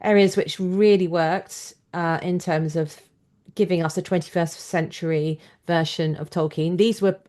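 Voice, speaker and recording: A woman's voice: lowish voice, steady tone, unhurried, dark tone. A woman hosting a conversational podcast interview, putting thoughtful, loosely phrased points and questions to her guest.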